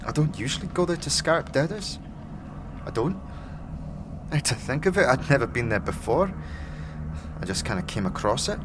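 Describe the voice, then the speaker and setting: Man voicing a wary streetwise tiefling girl, lively pitch. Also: moderate pace, pauses often, natural voice. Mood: uncertain, hesitant. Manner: colloquial street cant, clipped. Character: wary, streetwise young tiefling woman with a tail. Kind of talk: fantasy audio drama acting. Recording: clear background ambience noise.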